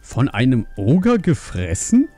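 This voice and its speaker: Fantasy audiobook role: strict panda dad, male actor, angry and reproachful, very lively pitch, dark tone. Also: a little slow, soft background music. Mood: angry, accusing. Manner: stern, reproachful. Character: strict, angry panda father scolding his family. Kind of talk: acted fantasy audiobook dialogue.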